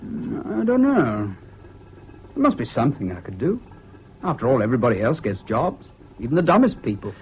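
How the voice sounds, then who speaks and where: An actor in a British radio drama playing a troubled, excitable, self-doubting young man with an English accent and an earnest manner. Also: mid-range voice, moderate pace, natural voice. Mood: eager, uncertain. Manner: hesitant, earnest, swinging between gloom and giddy excitement. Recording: dull, hissy old radio recording.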